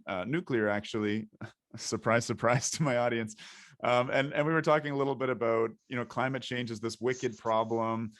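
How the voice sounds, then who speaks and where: A man's voice: medium pitch, fairly bright tone, dry recording, clean studio sound. A man hosting a podcast interview, talking at length in a rambling, thinking-aloud way. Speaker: podcast host.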